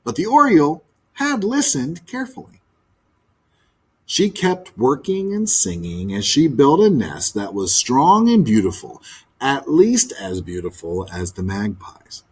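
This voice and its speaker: A man narrating a children's book in an expressive read-aloud style. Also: medium pitch, a little slow, husky. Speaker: storybook reader, teacher.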